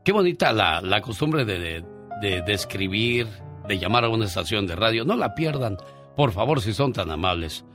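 A man's voice: on the low side, moderate pace, soft background music. A man with a Mexican accent hosting a radio show, joking and teasing as he reads listener messages. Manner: joking, teasing, animated storyteller. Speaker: radio host. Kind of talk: comic radio banter, reading listener messages.

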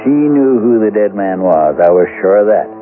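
A man's voice: fairly low pitch, animated, normal speed, natural voice. An actor playing a dogged Western lawman in a radio drama, plain-spoken and folksy as he questions people and narrates his case. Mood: brooding. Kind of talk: old-time radio drama narration and dialogue. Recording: tinny old radio broadcast, music behind.

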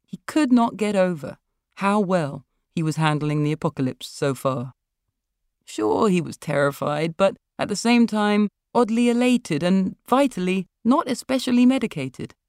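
A woman's voice: mid-range voice, expressive, measured pace, a little mellow, clear, resonant tone, dry recording, clean studio sound. A woman author reading her own literary fiction as narrator.

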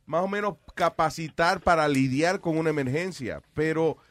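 A man's voice: fairly high pitch, normal speed, fairly bright tone, no room sound. A middle-aged man hosting a radio show, joking with callers and slipping into English phrases. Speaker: radio host. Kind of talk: casual banter.

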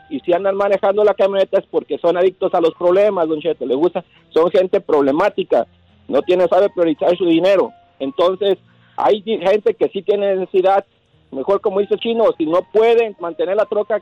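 A man calls in to a radio show and bluntly argues his point with folksy sayings. His voice sits fairly high and comes through a dull, dry phone line. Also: no echo. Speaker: caller.

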